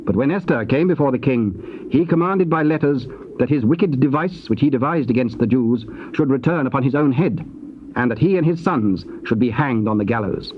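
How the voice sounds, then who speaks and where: Measured male narrator on dull tape. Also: medium pitch, lively intonation. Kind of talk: solemn scripted scripture narration. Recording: dull tape with faint noise.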